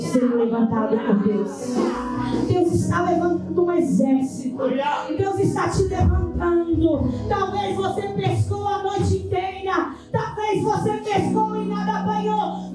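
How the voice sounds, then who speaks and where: A hoarse woman with a Brazilian Portuguese accent preaching a passionate, sing-song sermon in a church. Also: extremely high pitch. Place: church hall. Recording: echoey church hall from far off. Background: loud instrumental worship music.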